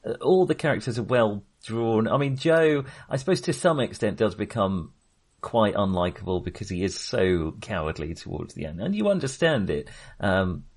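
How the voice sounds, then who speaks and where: A man's voice: lowish voice, big pitch swings, moderate pace, a little mellow. A man co-hosting a film podcast, talking casually in a tentative, self-qualifying, agreeable way.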